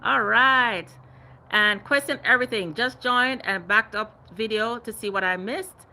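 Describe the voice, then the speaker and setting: A woman's voice: a little high, measured pace, pushed and bright. A woman in her fifties hosting a casual gardening livestream, chatting animatedly and reading out viewer comments. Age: 50s. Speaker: gardening channel host.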